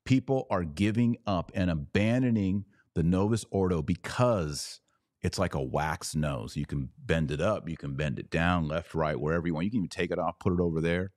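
Deep-voiced man hosting a podcast. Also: normal speed, darkish tone, no room sound, studio quality. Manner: sarcastic, riffing on comments; preachy when he turns serious. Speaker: podcast host. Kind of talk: live podcast reading viewer comments.

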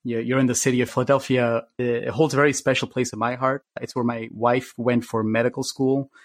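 A man's voice: medium pitch, level tone, brisk, no room sound, clean studio sound. A man co-hosting a podcast, moving between a scripted intro and casual chat. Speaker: podcast co-host.

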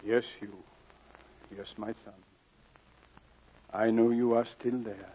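Slow, low, gentle father. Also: flat delivery. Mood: tender. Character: grave, gentle father answering his son. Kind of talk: 1940s radio acting. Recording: dull, noisy old radio recording.

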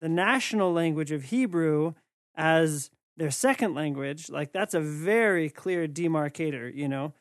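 Male host, slightly high voice, thoughtful questioning, dry room. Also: unhurried, no echo. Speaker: interviewer. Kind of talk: casual interview conversation.

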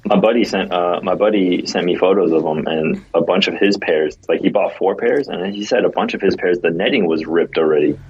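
Low husky man, muffled audio. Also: a little fast, hardly any pauses. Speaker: podcast co-host. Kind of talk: casual chat.

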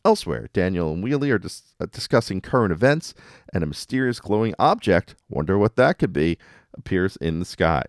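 A man's voice: deep voice, big pitch swings, a little fast, no echo, studio quality. A man hosting a casual fan podcast, recapping cartoon episodes in an enthusiastic, conversational way. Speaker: podcast host. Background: music under the voice.

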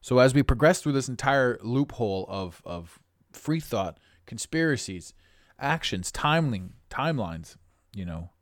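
A middle-aged man with a raspy voice, hosting a podcast with a blunt, preachy motivational monologue. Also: medium pitch, expressive, unhurried, no room sound, studio quality. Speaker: podcast host.

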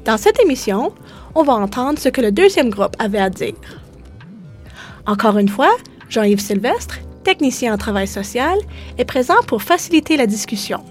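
A woman hosting a podcast, reading a scripted introduction in a clear, measured way. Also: a little high, sing-song, faint music behind. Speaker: podcast host. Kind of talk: scripted bilingual narration.

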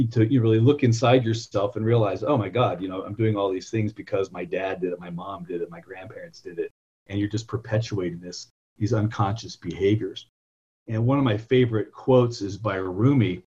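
A man in his fifties from California, a software entrepreneur telling long, self-correcting stories as a guest in an interview. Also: fairly low pitch, husky, muffled recording. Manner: rambling, self-correcting, anecdotal. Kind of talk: conversational interview storytelling.

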